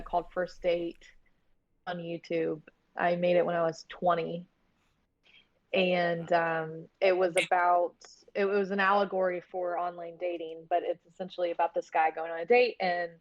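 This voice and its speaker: A woman's voice: lowish voice, no room sound, muffled recording. A woman chatting casually and candidly as an interview guest, talking like a filmmaker about her work.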